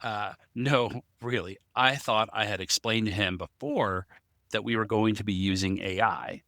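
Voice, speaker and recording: Bright, lowish-voiced man. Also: steady tone, a little slow, no room sound, studio quality. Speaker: podcast co-host. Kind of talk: conversational explanation.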